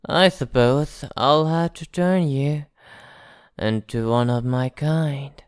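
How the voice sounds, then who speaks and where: Female performer as an arrogant vampire, slow delivery, smooth clear tone, possessive, condescending, quietly menacing, dry close ASMR roleplay. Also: rumbling low, dry recording. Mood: possessive, gloating. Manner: haughty, teasing, softly threatening.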